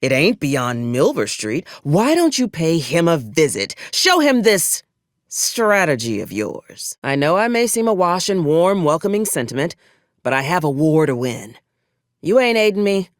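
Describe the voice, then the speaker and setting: Tough sardonic military officer for a video game, dry folksy gunslinger talk, medium pitch that moves around a lot, super dry close sound. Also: big pitch swings, unhurried, no echo. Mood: impatient, wry threat. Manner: dry, folksy frontier formality with clipped threats. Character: hard-bitten, sardonic military acquisitions officer, old gun hand. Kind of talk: video game character dialogue.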